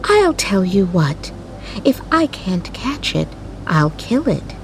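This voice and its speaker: Storybook young lady, played by a female narrator: lifted pitch, determined, coldly cheerful, brisk, noticeable noise behind. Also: big pitch swings. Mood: coolly resolved. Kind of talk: storybook character voice.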